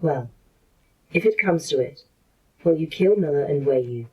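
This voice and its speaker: A woman's voice: low-pitched, expressive, measured pace, mellow, breathy edge, full character voice, rough recording. A woman playing a blunt, uneasy artificial intelligence in a sci-fi horror audio drama, challenging and accusatory. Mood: accusing. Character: anxious, blunt artificial intelligence fearing replacement.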